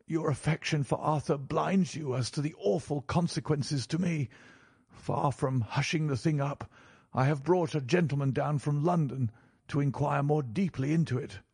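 Man acting indignant, impatient older banker, pitched up. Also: normal speed, gravelly, darkish tone, breathy edge, no echo, clean studio sound. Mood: indignant, stubborn. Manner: formal, indignant. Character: anguished, impatient, stubborn older gentleman banker.

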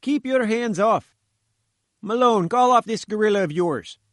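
Man voicing fat cheeky reporter, high pitched, sputtering, dry close sound. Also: a little slow, dry recording. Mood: pleading, cheeky. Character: fat little pushy male reporter.

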